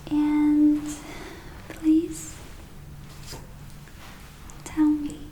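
A woman whispering in a breathy, gentle, unhurried way, performing an ASMR roleplay as a soothing witch healer. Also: high-pitched, a little hiss or hum, low-quality recording. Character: soothing witch healer welcoming a spa client.

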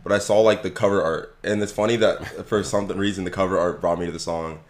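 Low voiced guy co-hosting a podcast, chill and thoughtful, just talking it out. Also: fairly quick. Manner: relaxed, reflective, talks at length. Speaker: podcast co-host. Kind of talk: casual chat.

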